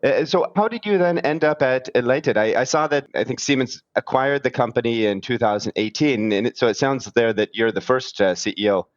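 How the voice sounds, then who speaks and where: A man's voice: mid-range voice, a little fast, hardly any pauses, dry recording, muffled recording. A man hosting an interview podcast, talking casually with many fillers. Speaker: podcast host.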